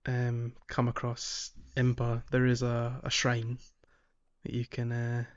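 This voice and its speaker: Man, medium pitch, halting podcast co-host, casual game chat, dull recording. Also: monotone, smooth. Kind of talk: casual video game discussion.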